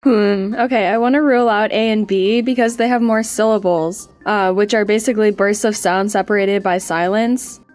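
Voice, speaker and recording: A woman's voice: medium pitch, fairly even intonation, moderate pace, few pauses, darkish tone, no room sound, soft background music. A young woman, an undergraduate contestant, casually explaining her answers on a video call. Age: young adult. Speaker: student contestant.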